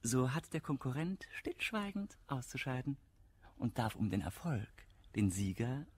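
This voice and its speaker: Foppish young marquis for verse radio comedy: very breathy, drawling, swooping, pleased with himself. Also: male voice, mid-range voice, sing-song, a little slow. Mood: scheming. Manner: affected, drawling, mannered. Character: foppish, self-satisfied young marquis wooing a lady. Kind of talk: theatrical radio play acting in verse.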